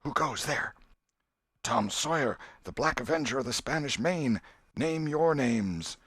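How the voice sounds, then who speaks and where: Guy doing a bossy kid playing pirate captain, higher voice, very theatrical, audiobook style. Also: expressive, measured pace, fairly bright tone, husky, dry recording, clean studio sound. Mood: solemn challenge. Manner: grandly theatrical, commanding. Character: bold adolescent boy playing pirate captain. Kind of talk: audiobook character acting.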